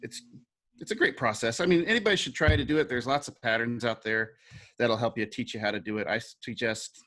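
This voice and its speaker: A man's voice: mid-range voice, fairly quick, a bit bright. A plain-spoken man explaining his craft casually and encouragingly on a group call. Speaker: puppet builder with an art background. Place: remote virtual call.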